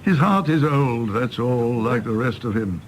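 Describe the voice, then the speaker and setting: An actor playing a blunt, unruffled country doctor in a radio drama, gravelly and matter-of-fact. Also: male voice, mid-range voice, lively intonation, measured pace, slight background noise, close to an everyday voice. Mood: blunt, resigned. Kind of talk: British radio drama acting.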